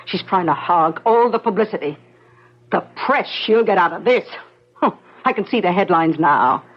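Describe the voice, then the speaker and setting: An actress playing a jealous, sharp-tongued wife, sarcastic and needling, in a 1970s radio drama. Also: female voice, on the low side, lively intonation, normal speed. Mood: scornful. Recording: muffled 1970s radio broadcast recording.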